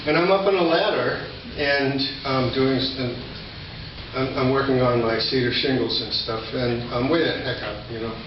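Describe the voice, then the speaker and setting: A man's voice: medium pitch, normal speed. An older man speaking as a poet at a live reading of his work. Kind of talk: poetry reading with informal spoken introduction. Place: indoor reading venue, recorded from a distance. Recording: echoey room, distant mic, dull, noisy.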